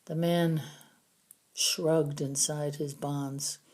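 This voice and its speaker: Female audiobook narrator: lowish pitch, mellow dark tone, measured storytelling of adventure fiction. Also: level tone. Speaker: audiobook reader. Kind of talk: scripted first-person story narration.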